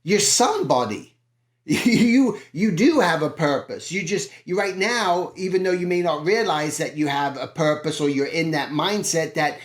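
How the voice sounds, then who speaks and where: A man, an art teacher and channel host, giving an earnest, encouraging motivational talk to his viewers. He often repeats phrases and asks himself questions out loud. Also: on the high side, animated, normal speed, reverberant.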